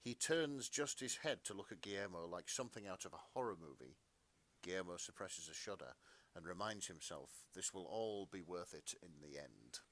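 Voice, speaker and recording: A man's voice: low voice, expressive, moderate pace, a bit bright. A man co-hosting a podcast, narrating fan fiction melodramatically with casual asides.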